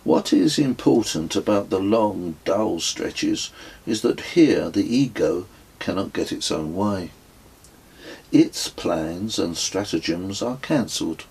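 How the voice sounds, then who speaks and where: A man reading his own travel memoir as an audiobook, with a husky voice and measured, deliberate storytelling. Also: deep voice, lively intonation, a little mellow, rough recording. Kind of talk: scripted audiobook narration.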